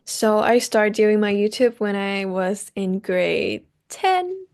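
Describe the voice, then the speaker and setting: Young taiwanese-born woman, some vocal fry, simple halting english. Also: medium pitch, animated, a little mellow. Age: young adult. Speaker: guest musician. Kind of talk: casual interview answers.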